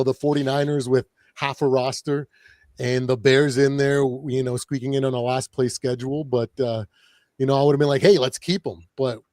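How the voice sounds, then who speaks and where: A man whose voice is raspy and a bit worn talks loosely as a guest on a live podcast. He rambles and restarts his sentences often, stopping on filler words. The sound is dry and close, with no room around it. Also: mid-range voice, a little mellow, dry recording. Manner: rambling, lots of fillers and self-corrections. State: raspy voice from partying. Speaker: guest, fan channel creator. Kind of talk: casual podcast chat.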